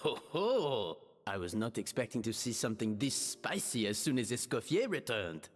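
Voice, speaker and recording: A man acts a flamboyant gentleman meddler from an anime game, courtly and pompous. His mid-pitched voice swoops up and down theatrically, with long reverb and music underneath. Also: big pitch swings, normal speed, lightly acted. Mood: delighted. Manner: florid, pompous, old-fashioned courtly wording.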